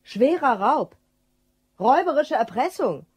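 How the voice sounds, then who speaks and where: An actress playing a cool, suspicious, quietly menacing woman in a German crime radio drama, pointed and dry. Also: high-pitched, very animated, measured pace, husky, no echo, heavily acted. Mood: sardonic, pointed. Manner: pointed, dry, matter-of-fact.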